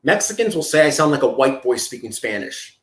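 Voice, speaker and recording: A man's voice: medium pitch, big pitch swings, brisk, reverberant. A man from California talking crude and jokey on a casual livestream, easily flustered. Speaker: streamer reacting to videos.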